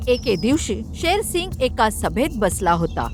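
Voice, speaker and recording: A woman narrating an animated fable in a scripted storytelling style. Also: fairly high pitch, measured pace, noisy background.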